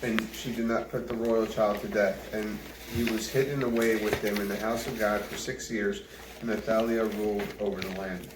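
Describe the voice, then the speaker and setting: A man's voice: lowish voice, fairly even intonation, moderate pace. A man reading a Bible passage aloud. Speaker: Bible reader. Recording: roomy echo with loud background noise.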